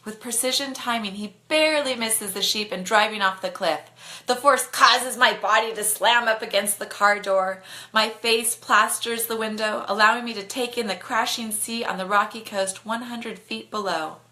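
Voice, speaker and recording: Bright-voiced woman reading a story. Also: a little high, moderate pace. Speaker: author reading to online viewers. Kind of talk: expressive bedtime story narration.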